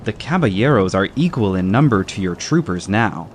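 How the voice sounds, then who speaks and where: A man plays a gentleman caballero in an audiobook drama. His low voice is formal, polite and calmly firm, with faint ambient noise underneath. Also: moderate pace, close to an everyday voice. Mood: courteous firmness.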